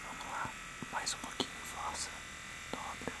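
A man in his thirties with a Brazilian accent, speaking softly and unhurriedly in an ASMR role-play as a physiotherapist addressing the listener. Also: heavy background noise. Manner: soft, unhurried, hushed.

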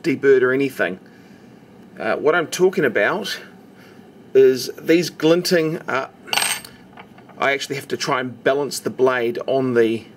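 A man giving a casual, instructional narration, explaining carefully step by step as the presenter of a tutorial. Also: medium pitch. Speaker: knife maker presenting a tutorial. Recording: steady background noise, homemade recording. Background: noticeable background noise.

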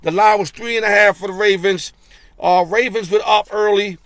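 A middle-aged man talking football straight into a phone from inside his car. His voice sits high for a man and has a rough, gravelly edge. The sound is close and dry, and he rattles off stats bluntly, stumbling over his words now and then. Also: steady tone, a bit bright, dry recording. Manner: blunt, rapid stat-reading with slang and stammered repeats. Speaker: sports betting show host. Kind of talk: casual NFL recap and picks. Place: parked car, indoors. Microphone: phone held at arm's length.

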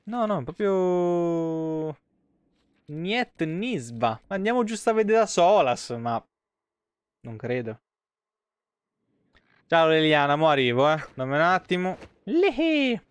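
A man's voice: on the high side, big pitch swings, takes it slowly, long pauses, clean tone, dry recording. A man in his thirties with a Roman Italian accent, giving casual, slangy, sweary live commentary on a video game. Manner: slangy, swearing, muttering asides. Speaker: game streamer. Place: small room, indoors.

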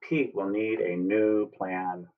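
A man's voice: fairly low pitch, steady tone, takes it slowly. An American man in his fifties reads a picture book to young children like a teacher. He explains things simply and puts questions to the listeners. The sound is a little distant and dull, as from a webcam. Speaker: teacher reading aloud. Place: room at home, indoors. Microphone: computer webcam at arm's length or more.

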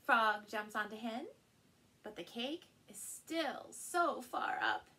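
A middle-aged woman with a fairly high voice tells a children's story very slowly. She reads expressively, playing up sound words and exclamations for young listeners. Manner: animated, slow, with playful sound words and exclamations. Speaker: storyteller and channel host. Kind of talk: expressive picture-book read-aloud for small children. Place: small furnished room indoors.

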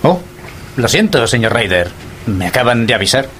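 A man's voice: medium pitch, very animated, normal speed, a bit bright, slight background noise. An actor playing an apologetic, deferential workman in a radio drama. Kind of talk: Spanish-language radio drama dialogue.

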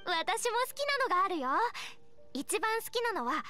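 A woman's voice: very high-pitched, expressive, normal speed, pushed and bright, soft background music, full character voice. A woman playing a cheerful, eager young knight scout in an anime game, girlish, chirpy and earnest. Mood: excited. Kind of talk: Japanese anime game voice acting.

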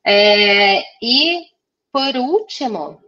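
A woman's voice: a little high, animated, drawn-out words, sharp tone. Guest designer, young woman, headset call, slightly muffled audio, chatty with lots of fillers. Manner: chatty, many fillers and restarts. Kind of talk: casual video-call interview.

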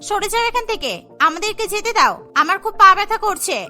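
A cheeky college girl, voiced by a woman with a very high, sharp, bright voice. She sounds whiny and outspoken in a cartoon drama, over music. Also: animated, brisk, full character voice. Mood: indignant.

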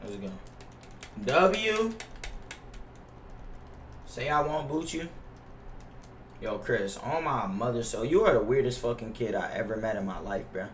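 Young male streamer, casual and complaining with lots of swearing; middle-pitched, roomy distant mic with background noise. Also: lively intonation, a little slow, pauses often. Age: young adult. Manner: slangy, profane, complaining. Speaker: livestreamer playing a basketball video game. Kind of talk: casual live stream chat and gameplay reaction. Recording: roomy echo, off-mic, noisy with keyboard clicks.

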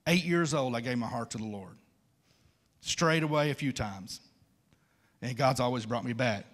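Guy in his 50s preaching, mid-pitched voice, plain folksy talk, repeats stuff to drive it home. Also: normal speed, fairly bright tone. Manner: folksy, conversational, repeats phrases for emphasis. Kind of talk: informal church sermon. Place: large church stage at a wooden pulpit.

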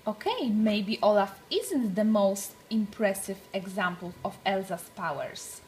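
A woman reading a storybook aloud for young children, with deliberate, expressive phrasing. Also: medium pitch, background noise.

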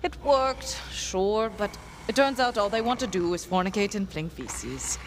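Actress doing a scatterbrained young lady scientist from a game, earnest n rambly, pitch all over the place, bit of background noise. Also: expressive, unhurried, natural voice, low-quality recording. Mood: self-doubting, musing. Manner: rambling, self-questioning. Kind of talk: video game voice acting.

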